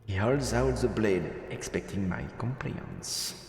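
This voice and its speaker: A man's voice: fairly low pitch, measured pace, gravelly, darkish tone, reverberant, big-room sound, faint music behind. A man streaming a game, switching between let's play commentary and reading the story text aloud.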